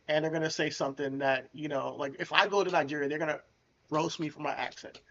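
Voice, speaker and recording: Ny guy cohosting a podcast, blunt and slangy, kinda high voice, dull lo-fi sound. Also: steady tone, fast, fairly bright tone. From United States. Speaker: podcast co-host. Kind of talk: casual chat.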